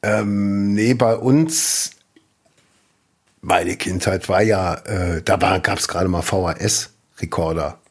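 A man's voice: sits low, takes it slowly, slightly rough. A man in his fifties, co-hosting a podcast in casual chat, telling rambling stories and correcting himself as he goes. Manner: rambling, self-correcting storyteller. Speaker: podcast co-host.

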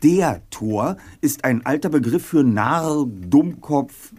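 A man's voice: mid-range voice, animated, slow, darkish tone, breathy edge. A man acting as a brainy, precise teenage boy detective leader in a German audio drama, articulate and pedantic. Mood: pondering, lecturing.

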